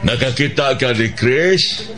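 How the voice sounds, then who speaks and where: An actor playing a caring, reassuring hospital doctor in a radio drama, with a Filipino accent. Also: male voice, mid-range voice, expressive, measured pace, lightly acted. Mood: concerned.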